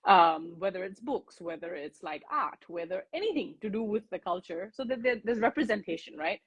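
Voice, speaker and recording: A woman's voice: medium pitch, lively intonation, moderate pace, husky, muffled recording. A woman from Singapore talking in a casual interview, chatty, with plenty of fillers and restarts.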